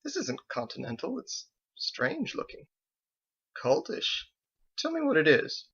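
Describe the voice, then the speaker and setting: Man voicing an affectionate older woman, pitched up. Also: huge pitch swings, measured pace, pushed and bright, breathy edge, lo-fi sound, full character voice. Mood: exasperated, suspicious. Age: 60s. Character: loving, worried sixty-five-year-old wife, bustling and warm. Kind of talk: audiobook character voice.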